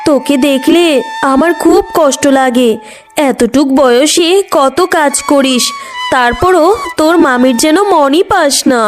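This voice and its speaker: A woman's voice: high-pitched, unhurried, over music. A woman voicing a kind, pitying village woman in a cartoon. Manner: gentle, sympathetic.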